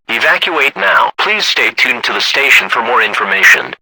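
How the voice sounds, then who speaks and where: A man's voice: mid-range voice, sharp tone, slightly rough, no room sound, poor audio. A man's synthetic-sounding voice reading a scripted emergency broadcast announcement.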